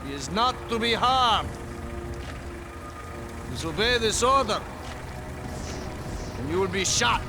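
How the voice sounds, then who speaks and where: An actor playing a stern Soviet commander with a Russian accent, imperious and measured, in a war video game. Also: male voice, sits high, animated, music in the background. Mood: stern, threatening. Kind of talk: dramatic war game acting.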